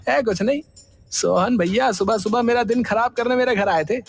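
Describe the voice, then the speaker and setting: A man voicing a touchy, resentful middle-aged brother in a cartoon, sulky and quick to take offence. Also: extremely high pitch, creaky, background noise. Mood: irritated. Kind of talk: cartoon voice acting. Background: background music.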